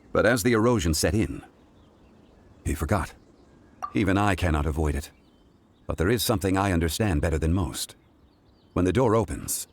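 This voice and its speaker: A man voicing a calm, weary ancient god in human form, speaking formally and deliberately in measured video game dialogue. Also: deep voice, husky.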